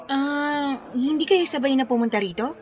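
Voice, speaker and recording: A woman's voice: high voice, normal speed, close to an everyday voice. A woman with a Filipino accent playing a patient, good-humoured wedding planner in a radio drama, friendly and lightly joking. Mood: friendly, chatty.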